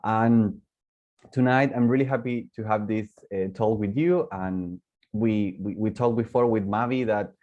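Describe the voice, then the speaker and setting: Man speaking halting English. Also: on the low side, fairly even intonation, lo-fi sound. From Chile. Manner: friendly, halting non-native English with fillers. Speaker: keynote speaker. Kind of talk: presentation. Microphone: online meeting.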